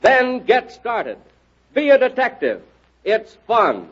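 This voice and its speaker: A man announcing in 1930s radio style, delivering an emphatic, exhortative promotional pitch. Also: huge pitch swings, slow pace, a bit bright, husky. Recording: muffled vintage radio broadcast recording.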